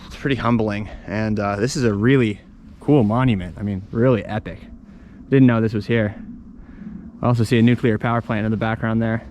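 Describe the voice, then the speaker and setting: A man's voice: fairly low pitch, fairly even intonation, fairly quick, a little mellow. Casual male travel vlogger outdoors, noisy background. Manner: chatty, informal, explaining as he explores. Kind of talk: casual walking travel commentary, unscripted. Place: outdoors, walking around a hilly town.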